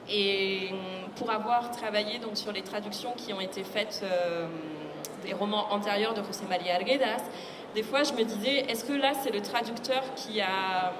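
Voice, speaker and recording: A woman giving thoughtful explanations as a guest translator in a conversational public discussion. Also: mid-range voice. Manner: thoughtful, explanatory, illustrates with quoted asides. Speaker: literary translator, guest speaker. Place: public book fair talk. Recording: echoey hall, loud ambient noise.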